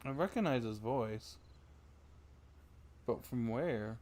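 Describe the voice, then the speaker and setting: A man's voice: mid-range voice, expressive, slow pace, a little mellow. A man giving casual reaction commentary as he livestreams a story-driven game.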